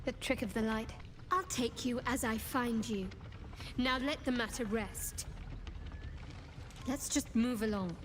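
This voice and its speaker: A woman playing a secretive young cleric in a video game, cool, wry and measured. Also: a little high, a little hiss or hum, natural voice. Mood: curt. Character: guarded, secretive young female cleric of dark goddess.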